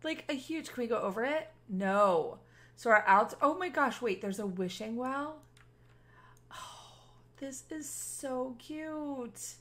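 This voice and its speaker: Bright, gushing woman gaming host. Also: high voice, slow. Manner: gushing, expressive, exclamatory. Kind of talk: casual gameplay commentary to viewers.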